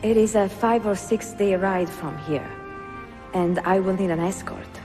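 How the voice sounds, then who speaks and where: An actress playing a cultured, gracious and gently playful Italian woman in a video game, with an Italian accent. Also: mid-range voice, measured pace, close to an everyday voice. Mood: gracious, conversational. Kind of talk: cinematic video game acting. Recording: music behind the dialogue.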